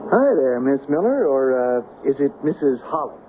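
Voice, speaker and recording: An actor playing a smooth, persuasive big-city newsman in a radio drama, suave and insistent. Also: mid-range voice, big pitch swings, moderate pace, natural voice. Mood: suave, teasing. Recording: tinny, noisy old radio broadcast.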